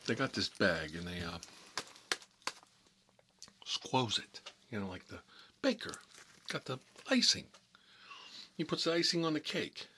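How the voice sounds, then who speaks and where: A man's voice: on the low side, big pitch swings, a little slow, pauses often, bright, forceful tone, a little hiss or hum, full character voice. A man playing a talkative, hot-headed mob boss in an improvised ASMR comedy monologue, rambling and repeating himself in streetwise slang. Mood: relaxed, savoring.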